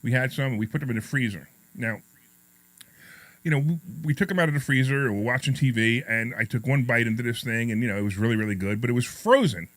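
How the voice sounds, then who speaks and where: A man's voice: mid-range voice, fast talker, a bit bright, dry recording, low-quality recording. A middle-aged male radio host with a New York accent and a slight lisp, chatting casually in a rambling, joking way. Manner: rambling, repetitive, self-deprecating joking. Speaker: radio talk show host. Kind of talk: casual, jokey talk radio chat and anecdotes.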